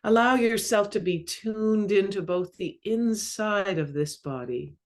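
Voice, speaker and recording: A woman leading a guided meditation as a mindfulness coach, speaking calmly and measuredly in short phrases with long pauses. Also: mid-range voice. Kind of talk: guided body scan meditation.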